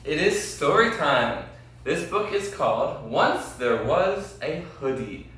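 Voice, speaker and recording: A man reads a children's story aloud with slow, deliberate, dramatic phrasing. His bright voice rises and falls a great deal. The recording is very echoey, as if from across a room. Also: on the high side, big pitch swings. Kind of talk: expressive children's story reading. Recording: very echoey room, distant mic.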